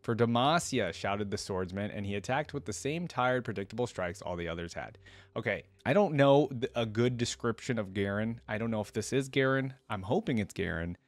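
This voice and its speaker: A man's voice: fairly low pitch, lively intonation, fairly quick, no room sound. A man reading a fantasy story aloud and adding enthusiastic, informal fan commentary as a reaction video host.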